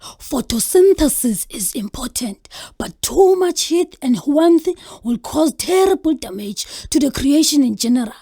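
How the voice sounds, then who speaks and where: An actress playing a soothing, cautious wind spirit in children's audio theatre, with a breathy, whispery voice. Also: female voice, high-pitched, lively intonation, measured pace, few pauses, warm tone, dry recording, studio quality. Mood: cautioning, soothing.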